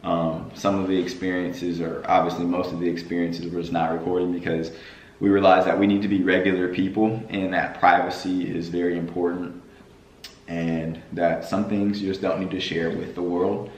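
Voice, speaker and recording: A young man with a hoarse, worn-out voice chatting casually on his family vlog, with a playful preacher-like cadence. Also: deep voice, level tone, normal speed, echoey room, a little hiss or hum, low-quality recording. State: hoarse from screaming at games. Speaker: vlogger.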